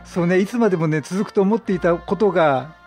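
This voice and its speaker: A man's voice: sits high, fairly quick, darkish tone. A man giving casual let's play commentary, chatty and teasing as he reacts to the game. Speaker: video game streamer. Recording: game music behind the voice.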